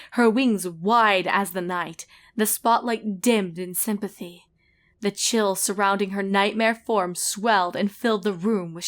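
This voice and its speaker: Lady reading a storybook out loud, dramatic narration, normal pitched voice.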